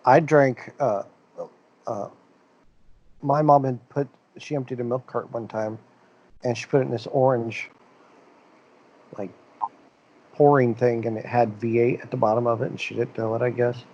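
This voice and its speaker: A man's voice: medium pitch, fairly even intonation, normal speed, pauses often, darkish tone, lo-fi sound. A man around fifty co-hosting a podcast, telling rambling stories in casual chat and laughing often. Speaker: podcast co-host.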